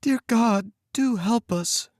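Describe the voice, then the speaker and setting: A man's voice: very high-pitched, slow pace, darkish tone, no echo, studio quality, voice pushed up. A man voicing a frightened young girl in a storybook reading. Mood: pleading.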